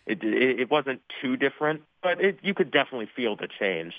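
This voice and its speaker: A man's voice: medium pitch, big pitch swings, fairly quick, no room sound. Teenage young man, thin phone-like sound. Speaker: high school theater student, guest. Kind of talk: casual radio interview chat. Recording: dry, narrow phone-like line.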